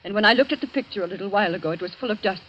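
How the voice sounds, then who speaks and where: Steady, soothing female friend played by an actress. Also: medium pitch, racing, lightly acted. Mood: explaining. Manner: soothing, matter-of-fact. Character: steady, reassuring friend who rescues the heroine. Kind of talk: 1940s radio thriller acting. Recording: dull, noisy vintage radio recording.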